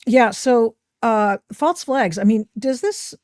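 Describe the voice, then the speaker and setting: A woman's voice: on the high side, lively intonation, slow, a little mellow, no room sound, studio quality. A middle-aged woman hosting a podcast interview, chatty and emphatic, with frequent restarts. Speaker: podcast host. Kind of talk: casual interview chat.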